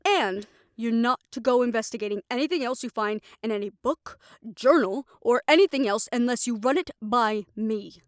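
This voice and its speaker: A woman's voice: fairly high pitch, moderate pace, no room sound, clean studio sound, natural voice. A woman playing an exasperated, protective guardian in an audio drama, scolding her reckless charges in a stern, commanding, emphatic way. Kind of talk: scripted audio roleplay drama acting.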